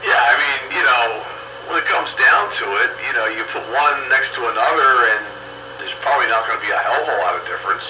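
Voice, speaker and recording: A man's voice: medium pitch, fast talker. A man chatting casually as an amateur operator on two-way radio. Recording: very noisy, muffled, roomy radio transmission.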